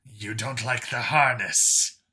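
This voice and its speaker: A man voicing a polite, matter-of-fact alien satyr commando with a calm, understated manner in an audiobook story. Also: medium pitch, slow, slightly rough, exaggerated voice, low-quality recording.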